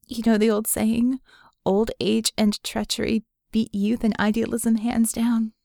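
A woman's voice: a little high, flat delivery, measured pace, gravelly, mellow, no room sound, studio quality. A woman voicing a guarded, sardonic young female mage in an audiobook, telling her story with wry candor. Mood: wry, bitter confession. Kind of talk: audiobook character dialogue.

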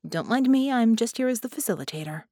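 A woman voicing a confident female mage in a fantasy audiobook, brisk, businesslike and wry. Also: fairly high pitch, animated, dark tone, no room sound, studio quality, voice pushed up.

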